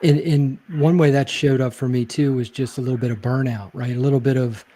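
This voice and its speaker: A man's voice: mid-range voice, steady tone, a little fast, dark tone. A man who is a guest in a casual interview, telling stories in a reflective way with many small hedges. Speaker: guest, leadership speaker.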